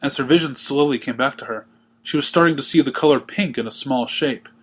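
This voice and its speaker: A man reading a story aloud in expressive storybook narration. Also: medium pitch, brisk, husky. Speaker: fan fiction reader.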